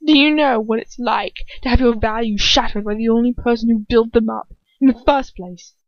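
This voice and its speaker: Woman voicing an anguished young wizard son, pitched high. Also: fairly even intonation, moderate pace, dry recording, highs cut off, lightly acted. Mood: hurt, accusing. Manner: emotional, wavering, pleading outbursts. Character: anguished, bitter young wizard son confronting his father.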